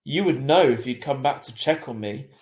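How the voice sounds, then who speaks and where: A man's voice: mid-range voice, expressive, moderate pace, a little mellow, reverberant, close to an everyday voice. A man voicing a touchy, defiant fifteen-year-old girl in an emphatic storybook reading. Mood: spiteful. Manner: emphatic, indignant.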